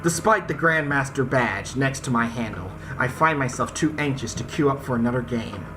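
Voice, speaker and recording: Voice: medium pitch, expressive, normal speed. Game host reading aloud over music. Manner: reads text aloud, stumbles on words, addresses viewers directly. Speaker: gaming video host reading a visual novel aloud. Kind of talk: let's-play narration with casual asides. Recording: music behind the voice. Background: visual novel game music.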